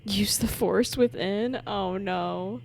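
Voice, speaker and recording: A woman game streamer giving casual, playful live commentary with expressive, dramatic reactions. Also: mid-range voice, takes it slowly, vocal fry. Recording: game music behind the voice.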